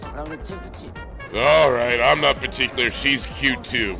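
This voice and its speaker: A man's voice: mid-range voice, slow, vocal fry, sharp tone, faint music behind, exaggerated voice. An actor playing a swaggering, taunting, slangy 1920s tough guy in a radio drama. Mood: bullying. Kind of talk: old-time radio drama acting.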